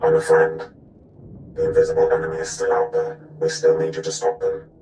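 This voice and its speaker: An actor playing a secretive, cautious informant in a video game, speaking tersely through a heavy electronic voice scrambler. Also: male voice, a bass voice, huge pitch swings, brisk, a little mellow, soft background music, heavily acted. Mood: guarded, urgent. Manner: terse, cryptic. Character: cryptic disguised ally, cautious and secretive informant. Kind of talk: scripted video game acting. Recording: echoing, electronically processed voice.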